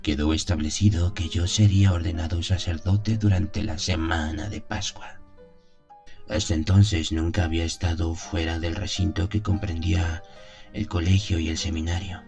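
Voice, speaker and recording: A man playing a devout priest who solemnly recalls his innocent youth in a gothic radio drama, in measured, literary phrases. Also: low voice, steady tone, darkish tone. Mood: solemn, fervent. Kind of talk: dramatized first-person gothic story narration. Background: music.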